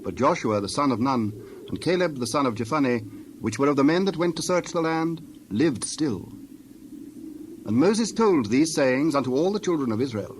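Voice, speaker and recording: A man's voice: medium pitch, expressive, moderate pace, a little hiss or hum. A man narrating scripture from a script in a formal, solemn manner. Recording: faint outdoor ambience with birds.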